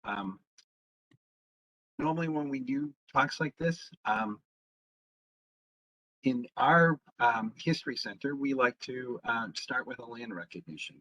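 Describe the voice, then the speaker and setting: Husky guy with a lowish voice giving a history talk, lots of ums, kinda muffled recording. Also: sharp tone. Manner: halting, fills pauses with frequent ums. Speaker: town historian giving a public lecture. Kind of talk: informal history presentation. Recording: dull lo-fi sound.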